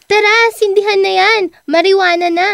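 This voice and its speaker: Woman as a very high-pitched campy teasing friend. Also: steady tone, moderate pace, vocal fry, fairly bright tone, dry recording, heavily acted. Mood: mischievous. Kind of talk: amateur radio drama acting.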